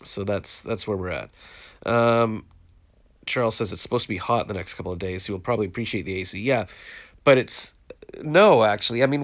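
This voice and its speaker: A congested man chatting casually to viewers on a phone live stream, frustrated and rambling. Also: medium pitch. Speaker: vlogger hosting a live stream. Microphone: phone, live stream from home.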